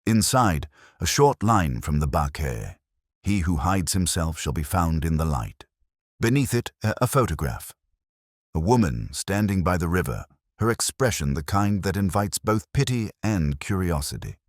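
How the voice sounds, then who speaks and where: A weary, cultured police inspector played by a man narrates a detective drama in an extremely low, husky voice. He is brooding and measured, with literary phrasing. The sound is close and dry. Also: sing-song, dry recording. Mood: brooding, reflective. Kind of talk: scripted first-person detective drama narration.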